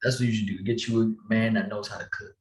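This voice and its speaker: A man's voice: on the low side, fairly even intonation, fast talker. A young man from southern Colorado hosting a podcast, laid-back and cursing casually in casual chat. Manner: laid-back, curses casually, short agreeing replies. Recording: echoey room.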